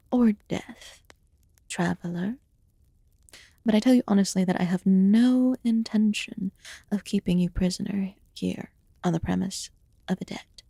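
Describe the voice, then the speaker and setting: A woman voicing an aloof, curious fae witch healer in a fantasy ASMR roleplay, speaking formally and softly, often dropping into a whisper. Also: medium pitch, gravelly, dry recording, studio quality. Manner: formal, archaic, deliberate phrasing.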